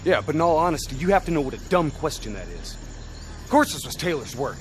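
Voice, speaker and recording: A man's voice: a little high, sing-song, fast talker, a little hiss or hum. A man playing a cynical, short-tempered soldier in a video game cutscene, blunt and sardonic. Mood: irritated, terse.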